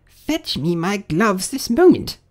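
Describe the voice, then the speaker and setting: A man's voice: high voice, sing-song, slow pace, darkish tone, voice pushed up, heavily acted. A man voicing a fussy, bad-tempered rabbit master in a children's storybook, scolding and giving imperious orders. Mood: imperious, angry.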